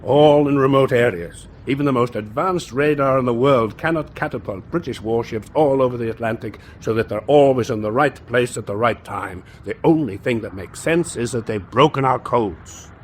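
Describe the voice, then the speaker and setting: A man's voice: medium pitch, moderate pace, hardly any pauses, a little hiss or hum, close to an everyday voice. An actor playing a worldly, genial German naval captain in a wartime radio drama, urbane and expansive in his talk. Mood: serious, confiding.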